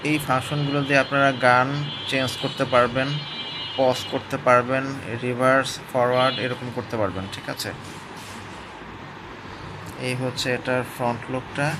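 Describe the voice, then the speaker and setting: Over clear background noise, a man presents a product review. He talks casually and explains things hands-on, pausing to check that viewers are following. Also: mid-range voice, little pitch movement. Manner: explanatory, often checks in with listeners.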